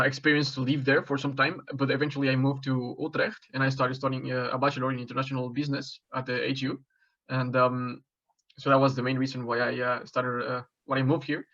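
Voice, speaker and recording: Mexican-born man, 20s, job applicant: halting, filler-heavy answers, monotone, slightly muffled audio. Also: medium pitch. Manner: hesitant, many fillers and restarts. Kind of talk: mock job interview answers.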